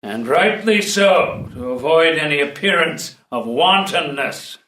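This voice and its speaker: An honour-bound courtier acted by a man speaks slowly in a classical stage comedy. His voice is fairly high and forceful, with a courtly, careful manner, heard in an echoey room. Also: animated. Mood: dignified, approving. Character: dutiful, honour-bound male tutor and courtier. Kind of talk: unrehearsed dramatic play reading of classical comedy.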